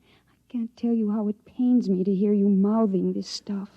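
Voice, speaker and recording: A woman's voice: medium pitch, fairly even intonation, moderate pace, lightly acted. Young heroine for radio melodrama, played by a woman: anguished, emotional, earnest, lo-fi old broadcast. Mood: yearning. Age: young adult. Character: young, anguished, pleading woman in forbidden love. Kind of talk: 1950s radio drama acting. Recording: dull vintage radio recording.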